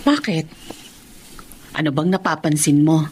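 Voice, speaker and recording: A woman's voice: low voice, measured pace, darkish tone, a little hiss or hum. A woman acting a caring, concerned middle-aged mother in a radio drama. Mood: puzzled, worried.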